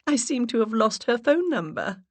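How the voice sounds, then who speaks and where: A coy, gushing socialite voice pitched far up, the English accent laid on thick as a woman narrator puts it on. The sound is close and dry with no room around it, and the manner is breathy and eager to please. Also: very animated, normal speed, dry recording. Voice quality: high, breathy, exaggerated accent. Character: giggly, gushing woman feigning a ditzy socialite act. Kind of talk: audiobook character voice.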